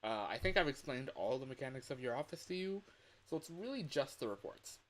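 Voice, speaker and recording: Man acting chatty, resigned office coworker, lively pitch. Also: brisk, close to an everyday voice. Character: chatty male coworker, weary family man training newcomer. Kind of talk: rehearsed game voice lines. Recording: clear background hiss.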